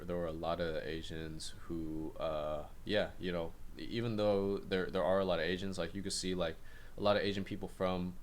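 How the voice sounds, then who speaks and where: A young man from San Jose with a very deep, low voice. He speaks as a podcast guest, weighing his words carefully, with a faint hiss in the background. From San Jose, California. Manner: thoughtful and measured, full of "like" and "you know". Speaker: guest, hip-hop artist. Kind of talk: casual conversation.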